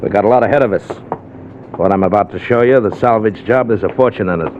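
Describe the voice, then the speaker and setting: Man playing possessive schemer, 1950s radio acting, low pitch, terse, halting, noisy vintage sound. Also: close to an everyday voice. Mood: guarded, serious. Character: guarded, possessive middle-aged man scheming a salvage fortune. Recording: dull, noisy old radio recording.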